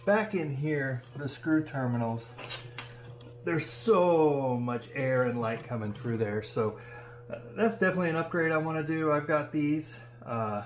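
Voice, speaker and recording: A man talking casually and thinking aloud as he hosts a hands-on DIY workshop demonstration. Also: medium pitch. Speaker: DIY audio channel host. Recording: steady background noise and hum.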